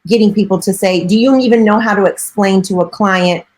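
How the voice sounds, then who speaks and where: A woman's voice: medium pitch, fairly even intonation, a little fast, a little mellow, poor audio. Chatty middle-aged woman broker. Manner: chatty and earnest, with frequent filler phrases. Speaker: real estate broker and coach. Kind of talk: casual interview answers.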